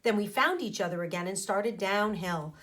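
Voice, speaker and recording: A woman teacher reading a children's story aloud expressively, pausing to explain things to her young listeners. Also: medium pitch, fairly bright tone. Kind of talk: animated storybook read-aloud with asides to children.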